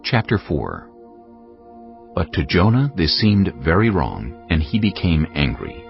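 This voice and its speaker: A man narrating scripture for a dramatized audio production in a deliberate storytelling manner. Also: sits low, wildly swooping pitch, vocal fry. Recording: dull band-limited audio, faint music.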